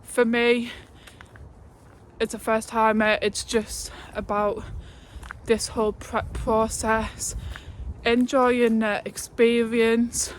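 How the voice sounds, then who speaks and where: A young woman of twenty talks through her day in a relaxed, unhurried vlog, sprinkling in fillers and laughs. Her voice sits a touch high, and she sounds a little out of breath from walking uphill. A faint hiss of background noise runs beneath her. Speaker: fitness vlogger. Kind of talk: casual vlog talk to camera. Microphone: phone held at arm's length.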